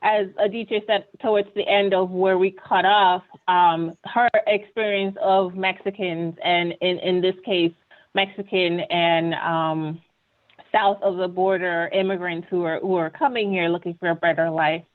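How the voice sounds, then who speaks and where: A woman's voice: fairly low pitch, steady tone, measured pace. A woman from Ghana, giving an earnest, conversational webinar presentation and often checking in with "right?". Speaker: webinar panelist. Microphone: video call. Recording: tinny video call audio.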